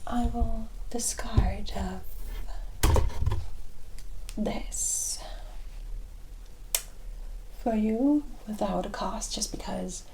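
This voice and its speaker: A woman playing a teasing, worldly forest witch in a whispered ASMR roleplay, speaking in a deliberate, familiar way. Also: medium pitch, fairly even intonation, dark tone, slight background noise. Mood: fond, intrigued. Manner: unhurried, deliberate, familiar terms of address.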